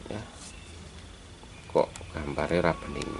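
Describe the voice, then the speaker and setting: Low, slow, gravelly man giving a tutorial. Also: fairly even intonation. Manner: step-by-step, halting as he works, addressing viewers as friends. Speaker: electronics repair hobbyist presenting a tutorial. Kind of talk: informal hands-on tutorial narration. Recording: clearly noisy amateur recording.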